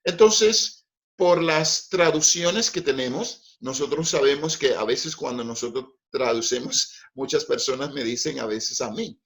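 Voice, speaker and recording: A man's voice: mid-range voice, fairly bright tone. A middle-aged man, a native English speaker, preaching a Bible study in a careful, teaching manner with frequent checks of "ok?". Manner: slow, deliberate teaching with frequent "ok?" and "pues".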